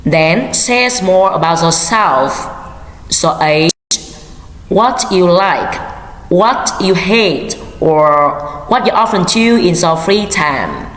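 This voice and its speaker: A woman English teacher giving calm, measured classroom instructions. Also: fairly low pitch. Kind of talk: slow scripted classroom instruction. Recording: echoey hall with faint noise.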